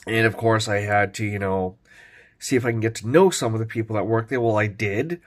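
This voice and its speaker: A man's voice: fairly low pitch, fairly quick. A man with a stuffy cold voice, casually narrating over close-ups of his coin collection at home, rambling with many fillers. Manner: relaxed, rambling, frequent fillers. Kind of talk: casual show-and-tell narration.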